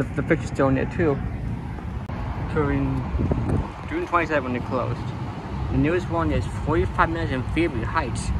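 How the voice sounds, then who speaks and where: Young male vlogger, slightly high voice, loose and rambling, filmed on a handheld camera outdoors with heavy traffic noise. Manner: rambling, filler-heavy, easily amazed. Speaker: urban explorer vlogger. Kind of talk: casual walk-and-talk commentary. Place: outdoors behind an empty store. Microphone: handheld phone or gimbal camera. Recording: loud outdoor street noise. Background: traffic and outdoor ambience.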